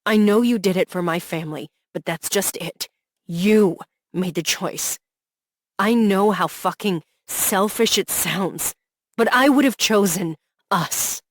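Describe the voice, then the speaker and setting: A woman's voice: on the low side, steady tone, measured pace, breathy edge, no room sound, studio quality. A woman voicing a heartbroken young man who pours out jealous, desperate love, her delivery raw and pleading. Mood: anguished. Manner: raw, pleading, rising to anguished outbursts.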